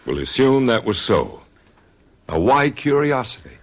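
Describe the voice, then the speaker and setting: A patient psychiatrist in an old radio play. His delivery is calm and coaxing, while his pitch moves freely up and down. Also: unhurried. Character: calm probing psychiatrist, man.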